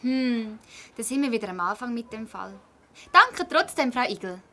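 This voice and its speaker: Woman as a high, bright Swiss German girl detective. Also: very animated, normal speed, heavily acted. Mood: earnest. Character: eager young girl detective, quick to conclude. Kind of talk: children's audio play acting.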